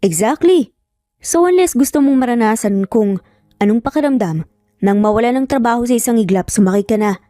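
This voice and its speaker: A woman's voice: fairly high pitch, lively intonation, brisk, warm tone, no echo, studio quality, natural voice. A woman voicing an arrogant, cold male billionaire boss, curt, sarcastic and commanding, in a narrated romance story. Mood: threatening.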